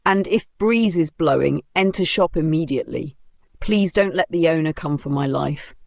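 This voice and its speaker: A woman's voice: sits low, normal speed, gravelly, no room sound. A woman voicing an anxious, strict female studio owner in a story, giving emphatic warnings.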